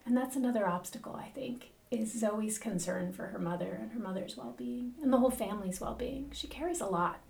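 Creaky-voiced woman hosting, roomy sound. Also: a little high, a little fast, hardly any pauses, darkish tone. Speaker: podcast host. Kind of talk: conversational book discussion. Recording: roomy, distant from the mic.

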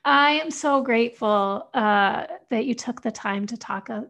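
A woman's voice: a little high, unhurried, fairly bright tone, dry recording. An American woman hosting a podcast, speaking in an appreciative, effusive manner. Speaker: podcast host. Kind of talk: podcast interview hosting and sign-off.

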